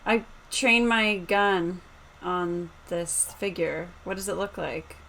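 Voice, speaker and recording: A woman's voice: on the low side, steady tone, slow, gravelly, sharp tone. A woman chatting casually as a player in a tabletop roleplaying game. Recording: faint noise on home microphone.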